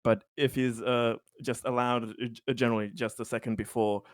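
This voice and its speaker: A man with a mid-pitched voice plays a tabletop roleplaying game. He talks haltingly, feeling his way through each sentence. The recording is very dry, with almost no room sound. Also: level tone, dry recording. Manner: hesitant, halting delivery.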